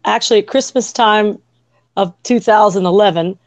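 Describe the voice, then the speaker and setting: A woman's voice: medium pitch, moderate pace, dry recording. A woman from Washington, D.C., with a slight Southern country drawl, talking as a guest in a bubbly, giggly, storytelling way over a video call. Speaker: guest, intuitive healer and massage therapist. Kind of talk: casual conversation.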